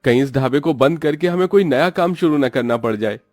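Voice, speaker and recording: A man's voice: medium pitch, expressive, a little fast, darkish tone, no room sound, clean studio sound, soft background music, voice pushed up, lightly acted. A man voicing a worried, downhearted roadside eatery owner in a cartoon, with a plaintive, exclamatory delivery. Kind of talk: Hindi moral-story cartoon dialogue.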